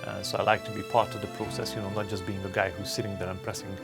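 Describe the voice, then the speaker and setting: A man with a Hungarian accent who speaks in a lowish, gravelly voice. He talks earnestly and chattily as a record producer in an interview, with fillers and restarts, while music plays clearly behind him. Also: level tone, fast talker. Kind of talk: documentary interview. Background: music playing.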